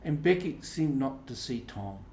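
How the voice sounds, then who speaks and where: A man reads a story aloud at a slow, deliberate pace, breaking it into short measured phrases. His pitch sits in the middle range. The room adds a clear echo around his narration. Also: lively intonation, darkish tone, slight background noise. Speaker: audiobook reader. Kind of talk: slow scripted storybook narration with acted dialogue.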